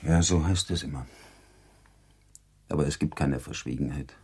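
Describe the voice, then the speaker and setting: A man's voice: rumbling low, moderate pace, a little mellow, slightly rough, full character voice. A man playing a stern, proud, brooding baron in a radio drama, speaking with formal control and insistence. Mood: bitter, insistent. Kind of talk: German radio drama acting.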